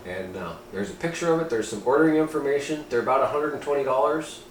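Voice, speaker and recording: A man talks through a piece of hobby gear in a roomy, echoing space with faint noise behind him. His pitch is mid-range for a man. He sounds informal and folksy, slipping between reading aloud and offhand comment. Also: fast talker. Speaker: amateur radio hobbyist reviewing gear. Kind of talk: casual product review, partly reading aloud. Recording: roomy echo, steady background noise.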